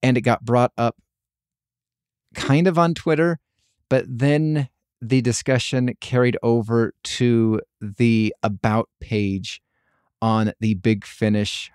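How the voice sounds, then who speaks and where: A man hosting a podcast, talking casually by himself in a halting, rambling way. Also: medium pitch, steady tone, darkish tone, clean tone, no echo, clean studio sound. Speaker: podcast host. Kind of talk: casual solo podcast commentary.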